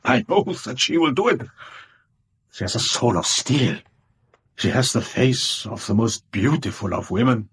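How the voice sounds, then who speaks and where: A man's voice: mid-range voice, very animated, unhurried, fairly bright tone, slightly rough, full character voice. An actor playing a proud, anxious European king in a British radio drama, formal and grave, imperious yet desperate. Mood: solemn, fearful.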